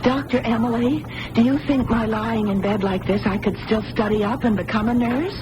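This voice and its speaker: A woman's voice: a little high, normal speed, natural voice. An actress playing a confused, fragile young woman in hospital with amnesia, childlike and earnest, in old-time radio drama style. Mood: naive, bright. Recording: noisy vintage radio broadcast recording.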